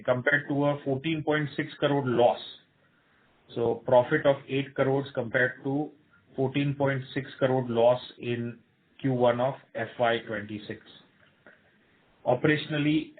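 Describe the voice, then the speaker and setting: A man with an Indian accent, a company executive reading out a financial report in a formal, measured manner. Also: medium pitch, slight background noise. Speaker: company executive presenting results. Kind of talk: scripted financial report on an earnings call. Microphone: telephone conference line. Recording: tinny narrow phone line.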